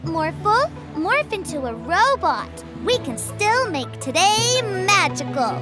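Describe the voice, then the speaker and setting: A young cartoon girl leading adventures, plucky and caring. Her voice is very high and animated, with slow, clear exclamatory commands over prominent music. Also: heavily acted. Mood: urgent, commanding. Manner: bright, urgent, exclamatory commands. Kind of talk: preschool cartoon voice acting.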